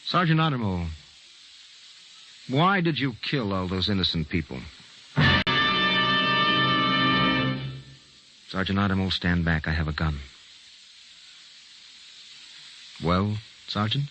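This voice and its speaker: Intense radio-drama newsman, low swooping voice. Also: animated, moderate pace, heavy background noise. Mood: urgent, probing. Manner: dramatic, suspenseful delivery. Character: excitable male newspaper reporter hunting a strangler, intense. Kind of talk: old-time radio drama acting and first-person narration. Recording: old-time radio recording with music.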